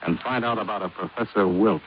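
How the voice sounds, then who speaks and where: Man acting tough wry American detective, deep voice, clipped delivery, vintage radio crime drama, faint hiss. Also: fairly quick, lightly acted. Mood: businesslike. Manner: dry, clipped, hardboiled. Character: wry, tough freelance insurance investigator. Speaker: detective lead and narrator. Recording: dull, noisy vintage radio recording.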